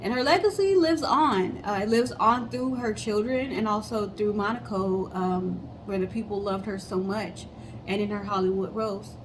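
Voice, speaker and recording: A woman's voice: mid-range voice, normal speed. Chatty woman, noisy recording. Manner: chatty, conversational, addressing viewers directly. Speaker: co-host of a storytelling guessing show. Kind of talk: casual storytelling chat to viewers.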